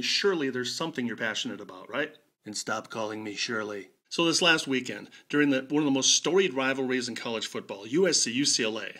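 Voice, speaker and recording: A man's voice: medium pitch, a bit bright. A man in his fifties with an American accent gives a conversational personal monologue in a wry, emphatic way. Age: 50s. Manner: wry, emphatic, rhetorical questions. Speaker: video blogger.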